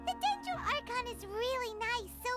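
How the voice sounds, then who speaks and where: A woman voicing a bubbly, eager tiny fairy companion in a video game, talking in quick exclamations. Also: extremely high pitch, big pitch swings. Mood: chirpy. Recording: game audio with music behind.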